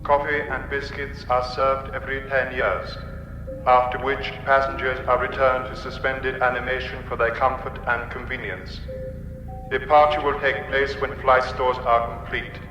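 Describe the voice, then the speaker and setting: A man's voice: medium pitch, level tone, moderate pace, highs cut off, natural voice. Officious autopilot computer in huge echo, male actor. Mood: blandly officious. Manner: polite, repetitive, officious announcement delivery. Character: bland, inflexible male spaceship autopilot computer. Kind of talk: radio comedy drama acting. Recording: very echoey hall reverb, loud music.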